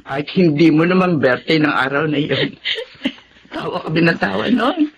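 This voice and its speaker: Loving filipina mom, voice goes up and down a lot, radio drama, bit of noise behind. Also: female voice, low-pitched, moderate pace, highs cut off. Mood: fond, nostalgic. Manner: expressive, motherly. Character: affectionate, encouraging Filipina mother, often ailing.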